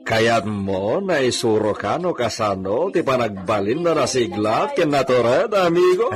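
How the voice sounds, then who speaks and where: A man plays a proud landowning senyor in a radio drama. His mid-pitched voice is commanding and deliberate, rising and falling expressively. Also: sing-song, a crowd in the background. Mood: proud, indulgent. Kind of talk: Ilocano radio drama acting.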